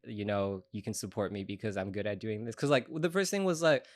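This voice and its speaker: A man's voice: fairly low pitch, fairly quick, dry recording. A young American man answering questions casually as a guest on a podcast. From Chicago, United States. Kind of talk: casual conversational interview answers.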